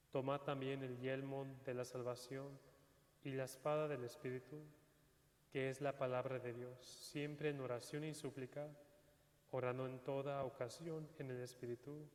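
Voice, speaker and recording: A man, a priest, reads a scripture prayer in a measured, solemn way inside a church. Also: mid-range voice. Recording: church reverb.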